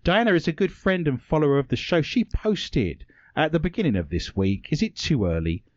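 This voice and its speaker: A man's voice: a little high, big pitch swings, a little fast, dry recording, lo-fi sound. A British man hosting a talk radio show, chatting playfully with rambling jokes and asides from a studio. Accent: British English. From Britain.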